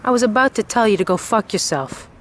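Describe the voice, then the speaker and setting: A woman's voice: mid-range voice, animated, fast, slight background noise, natural voice. An actress playing a tough, defiant young female street racer in a video game, blunt and cocky. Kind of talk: video game cutscene acting.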